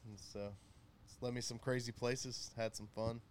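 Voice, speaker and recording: A man in his thirties talking casually and laid-back, pausing often with fillers as he tells his story. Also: mid-range voice, level tone, a little hiss or hum. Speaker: guest. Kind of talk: casual chat.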